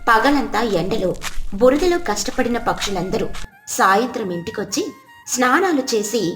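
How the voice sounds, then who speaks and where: A woman's voice: fairly high pitch, fairly bright tone, roomy sound. A woman reading scripted narration for a children's story.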